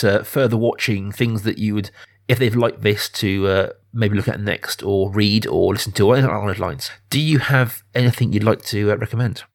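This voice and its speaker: A man hosting a casual film discussion, reflective and halting as he thinks through his opinions. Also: fairly low pitch. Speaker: podcast host.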